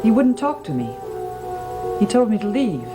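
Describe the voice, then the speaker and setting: A woman's voice: mid-range voice, sing-song, moderate pace, lightly acted. An actress playing an uneasy, concerned woman in an old gothic television soap, speaking earnestly and hesitantly. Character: uneasy, concerned female guest in a gothic mansion. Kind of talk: 1960s-70s gothic soap opera acting. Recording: old TV soundtrack with loud background music.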